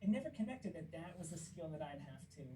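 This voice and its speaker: A man from Kansas with a squeaky voice, a puppeteer guest chatting casually and acting out stories. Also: quick pace, recorded from a distance, noisy background. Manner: animated storytelling, acts out quotes. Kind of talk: casual interview chat.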